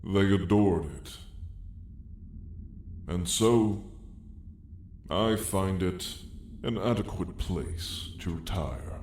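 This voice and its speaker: A man's voice: deep voice, drawn-out words, long pauses, darkish tone. A man playing an ancient, weary dragon lord with dry menace, telling his tale in a grand, wry, old-fashioned way for an ASMR roleplay. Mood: musing, nostalgic. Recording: roomy echo, faint background noise.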